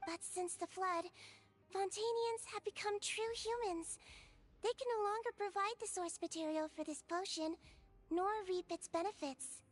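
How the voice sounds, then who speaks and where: A woman voicing a small, clever, composed girl healer in a video game, speaking in a measured, gently firm, explanatory way. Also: extremely high pitch. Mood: calm, clever. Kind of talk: scripted video game dialogue.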